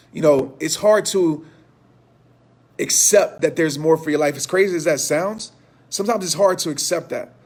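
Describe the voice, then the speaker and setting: A man in his thirties giving an impassioned motivational talk to viewers, hoarse from losing his voice, with a repetitive preaching cadence. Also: a little high. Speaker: motivational speaker.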